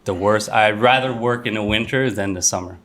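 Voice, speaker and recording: A man in his thirties, a roofer talking casually on a podcast, laid-back and quick to laugh, swearing loosely. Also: fairly low pitch, a bit bright. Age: 30s. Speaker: roofer guest.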